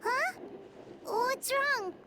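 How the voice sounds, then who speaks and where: A woman's voice: extremely high pitch, drawn-out words, background noise, heavily acted. A woman voicing a tiny, bubbly, childlike companion creature in an anime game, exclaiming and inquisitive. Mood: curious.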